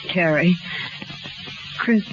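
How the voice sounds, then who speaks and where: An actress playing a young carnival snake charmer in love, slangy, emotional and rough-edged in grammar, in 1930s radio style. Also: female voice, on the low side, drawn-out words, over loud music. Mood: emotional, smitten. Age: young adult. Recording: noisy, muffled 1930s radio recording.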